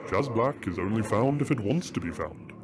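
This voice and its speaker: A man playing a wise, teasing middle-aged father king in a fantasy audio drama, measured and playful. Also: on the low side, animated, natural voice. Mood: matter-of-fact. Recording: music under the voice.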